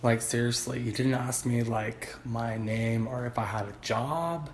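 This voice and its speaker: A man's voice: medium pitch, fairly even intonation, measured pace, pitched above the performer's own voice, lightly acted. A man voicing a laid-back stoner hippie with a dazed, slangy surfer-dude drawl. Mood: aggrieved. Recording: noisy homemade phone clips.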